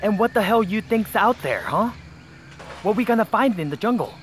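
Exasperated, high-voiced miner son. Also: male voice, expressive, moderate pace, soft background music. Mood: scornful. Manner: blunt, colloquial, pleading. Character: exasperated miner son, blunt, worried, practical.

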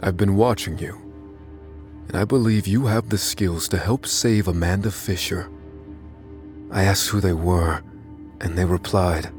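An actor playing an anxious, unassuming man who narrates his hunt for a killer in an audio drama, measured and confessional. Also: low-pitched, steady tone, a little mellow. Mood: tense, measured. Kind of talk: first-person dramatic narration in an audio thriller. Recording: music under the voice. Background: music.

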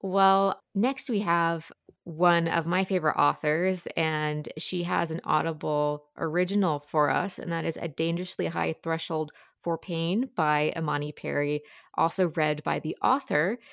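A woman's voice: low-pitched, fairly even intonation, unhurried, gravelly, a bit bright, dry recording, studio quality. A woman giving a conversational book review as a podcast guest.

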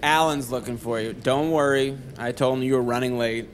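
An actress playing a blasé, skeptical office colleague, dry and offhand in a naturalistic audio drama. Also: female voice, rumbling low, fairly even intonation, moderate pace, a little hiss or hum.

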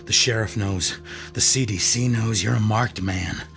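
A man's voice: lowish voice, unhurried, a bit bright, soft background music. A man playing a defiant angry detective. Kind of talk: radio drama acting.

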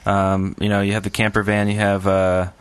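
A man on a podcast panel, chatting casually in a halting, agreeable way. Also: fairly low pitch, monotone, clean tone. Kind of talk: casual chat.

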